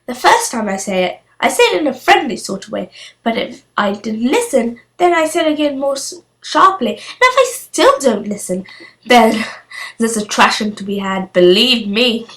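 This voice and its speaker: Woman doing a cheeky little storybook girl, high voice, cheerful and matter of fact, bit odd. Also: lively intonation, normal speed, a bit bright. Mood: breezy, cheerful. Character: cheeky, confident, eccentric young girl living alone.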